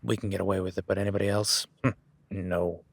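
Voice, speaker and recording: A man's voice: low-pitched, little pitch movement, fast, vocal fry, no echo. A man voicing an easygoing male cowboy ranch hand in an audio roleplay, talking in a laid-back, folksy way with casual swearing.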